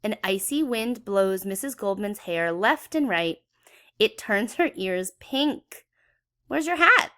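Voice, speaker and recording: A woman's voice: medium pitch, expressive, slow. A woman reading a children's story aloud expressively, with dramatic emphasis for young listeners. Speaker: children's book read-aloud educator.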